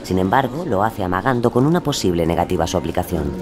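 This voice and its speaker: Guy narrating a docudrama, low voice that moves around a lot, music under. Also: lively intonation, quick pace, crowd noise. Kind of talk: scripted documentary drama narration.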